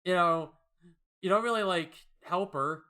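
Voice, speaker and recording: A man's voice: high-pitched, unhurried, bright, forceful tone, clear, resonant tone. A man co-hosting a casual comic discussion, riffing playfully as he goes. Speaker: co-host. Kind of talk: casual comic commentary chat.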